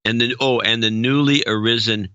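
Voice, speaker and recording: A man's voice: mid-range voice, steady tone, moderate pace, bright, forceful tone, smooth, no echo, lo-fi sound. A man co-hosting a talk podcast, reading listener notes aloud with dry humor. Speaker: podcast co-host. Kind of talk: casual chat, reading donor notes.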